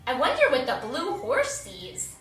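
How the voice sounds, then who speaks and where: A woman with a high, very lively voice guides little kids through a story and movement lesson. She gives simple, encouraging, sing-song prompts and leaves room to copy her. She is a little away from the mic in an echoey room. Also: measured pace, faint music behind. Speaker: children's yoga and story time host. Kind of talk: interactive read-aloud and movement lesson for young children. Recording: roomy echo, distant mic.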